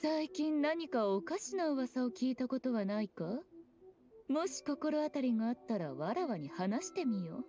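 A woman's voice: high voice, very animated, measured pace, dark tone, soft background music. A woman voicing an elegant, teasing fox shrine maiden with aloof, archaic, lordly speech in a Japanese anime game. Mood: probing.

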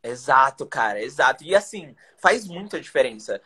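A man's voice: mid-range voice, big pitch swings, normal speed, pushed and bright, husky. A young man from Brazil, an English teacher, chatting energetically on a video call with slang and rhetorical questions. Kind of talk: casual live-stream chat, teaching tips. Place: indoors, small room. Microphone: webcam on a video call.

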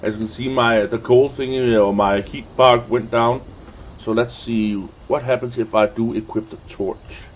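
Male let's play host, unhurried and muttering, casual commentary, light background game noise. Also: mid-range voice, steady tone, rough recording. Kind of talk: casual gameplay commentary, thinking aloud.

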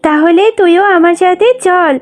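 A woman's voice: extremely high pitch, fairly even intonation, normal speed. A woman voicing a kind-hearted little tiger girl in a cartoon, childlike and coaxing. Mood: eager. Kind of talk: cartoon voice acting. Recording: music under the voice.

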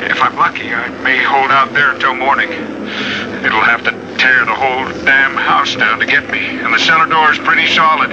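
Radio drama: haunted, exhausted man, brooding and halting, muffled sound buried in heavy noise. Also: mid-range voice. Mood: resigned dread. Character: haunted, exhausted man besieged by killer wind. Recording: dull, loud roaring noise behind.

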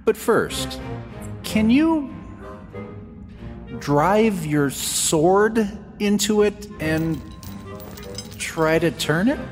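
Slow, reverberant man as a mischievous adventurer. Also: high-pitched, expressive, full character voice. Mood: playful. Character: mischievous, confident male fantasy adventurer. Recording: long hall reverb over music.

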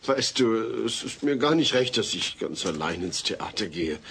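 An actor playing a mild, long-suffering husband and father with a deadpan manner in a German sitcom audio drama. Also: lowish voice, normal speed, slight background noise. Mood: resigned.

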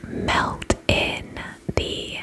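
Female ASMR whisperer, spa-attendant roleplay, extremely unhurried delivery, soothing and repetitive. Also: a bit bright. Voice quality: breathy, unvoiced whisper. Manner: soothing, repeats short reassuring phrases.

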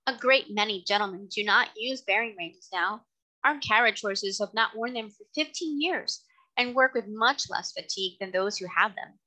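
A woman voicing a kind, gentle lady who speaks politely and earnestly in a storybook reading. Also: medium pitch, moderate pace, close to an everyday voice, low-quality recording. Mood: gently persuasive. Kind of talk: audiobook character reading.

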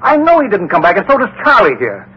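An anxious, well-meaning man in an old radio thriller. His voice is fairly high and animated, flustered and pleading, on a dull vintage recording. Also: fast, slightly rough, full character voice. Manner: flustered, stammering. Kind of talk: 1950s radio acting.

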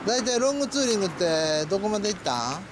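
A man's voice: sits high, sing-song, quick pace. A man with a Kansai accent, a cafe owner chatting in a friendly, talkative way with visiting riders outdoors. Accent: Kansai Japanese. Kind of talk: casual chat. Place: outdoors by a cafe parking area. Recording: outdoor noise, passing cars.

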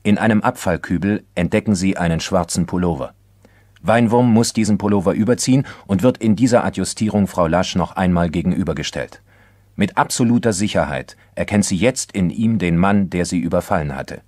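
A man's voice: low voice, brisk, a little mellow, dry recording, clean studio sound. A man narrating a radio crime play in a sober, factual scripted style, like a case report.

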